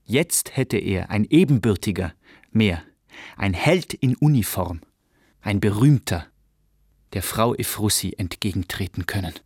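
A man's voice: on the low side, animated, a little slow, a little mellow, breathy edge, dry recording, clean studio sound. A man reading scripted literary narration for a radio play.

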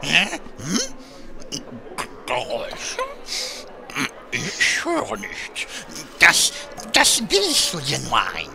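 A man playing a shifty, cowardly goblin inventor in a fantasy parody radio play, halting and drawn-out, with sly chuckles. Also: fairly high pitch, wildly swooping pitch, vocal fry, music in the background, full character voice. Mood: nervous.

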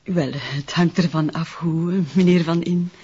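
An actress playing a guarded, stern nun who runs a convent, speaking formally and in a measured way with a Flemish accent, in a detective drama. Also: female voice, fairly low pitch, fairly even intonation, mellow, husky, muffled recording. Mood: formal, reserved. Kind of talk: naturalistic detective drama dialogue.

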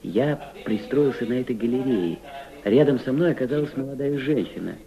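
An actor playing an earnest member of a Soviet delegation, narrating his memories with deliberate, dramatic phrasing in a radio drama. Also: mid-range voice, lively intonation, creaky. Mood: reminiscing. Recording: dull old recording with background ambience.

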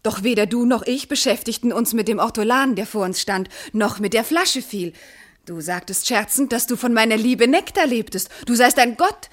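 An actress playing a proud, loving, wounded noble wife in classical verse radio drama, speaking in an earnest, elevated way. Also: female voice, fairly high pitch, animated, moderate pace. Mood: tender recounting.

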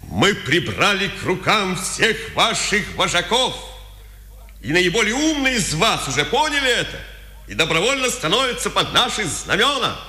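A man's voice: high voice, sing-song, moderate pace, sharp tone, exaggerated voice. A man playing a smug, threatening Nazi police officer in a 1950s Soviet radio drama, taunting and condescending. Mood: smug persuasion. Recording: old radio recording, long hall reverb.

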